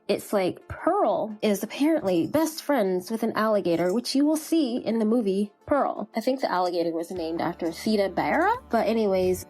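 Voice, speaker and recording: A woman's voice: medium pitch, darkish tone. A woman hosting a podcast, talking casually about films in an informal, gushing and emphatic way. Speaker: podcast host. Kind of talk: casual chatty film review podcast.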